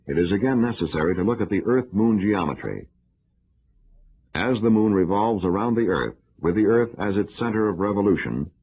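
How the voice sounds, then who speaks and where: A man delivering scripted educational film narration in a formal, measured, instructional manner. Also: lowish voice, slightly rough. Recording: dull, lo-fi old film soundtrack.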